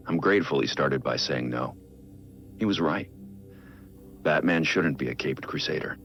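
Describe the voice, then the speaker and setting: Dull game audio with music behind it. a brooding but earnest masked vigilante recording a private log, extremely low and full of gravel. He is measured and reflective, as if confiding in a journal. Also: full character voice. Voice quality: gravelly, very deep. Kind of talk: scripted audio-log monologue from a video game.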